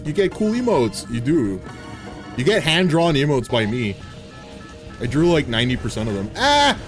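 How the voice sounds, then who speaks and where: A man in his thirties, a game streamer giving casual, excitable, jokey commentary while playing and laughing often. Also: medium pitch, normal speed, over music. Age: 30s. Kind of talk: casual live gameplay commentary.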